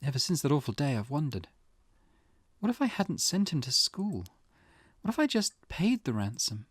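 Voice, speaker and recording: A man voicing a grieving, haunted mother with quiet resolve, speaking in a hushed, hesitant manner in a visual novel. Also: mid-range voice, big pitch swings, normal speed, no echo, clean studio sound, pitched above the performer's own voice. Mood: grieving, wistful. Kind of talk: character voice reading visual novel dialogue.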